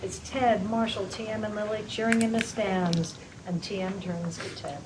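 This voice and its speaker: A woman reading stage directions aloud at a script table read, brisk and matter-of-fact. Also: mid-range voice, steady tone, background noise. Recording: busy room with a laughing crowd.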